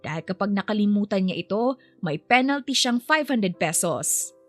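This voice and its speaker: A woman's voice: mid-range voice, expressive, normal speed, faint music behind. A woman giving scripted narration of a story.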